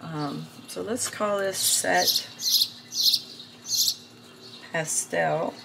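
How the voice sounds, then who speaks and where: Hoarse middle-aged woman host, gravelly raspy voice, unhurried art review, birds chirping in a noisy room. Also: lowish voice. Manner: slow, rambling, many fillers and restarts. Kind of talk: casual art supply review.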